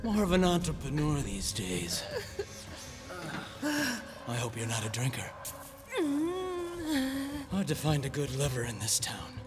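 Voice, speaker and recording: A man's voice: mid-range voice, very animated, measured pace, long pauses, over music. A man playing a greedy, callous businessman villain with a smug, menacing manner in a video game cutscene. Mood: smug menace.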